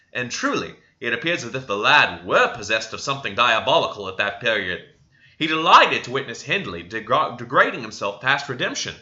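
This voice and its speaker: Male audiobook reader, classic fiction: lively, swinging intonation, slightly dull home recording. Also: medium pitch. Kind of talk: dramatic reading of a classic novel.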